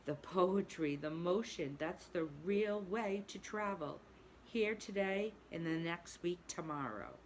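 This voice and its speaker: A woman voicing a boastful, excitable male toad in a storybook read-aloud, talking in a dreamy, gushing way. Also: on the low side, slow, a little hiss or hum, pitched above the performer's own voice. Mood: dreamy rapture.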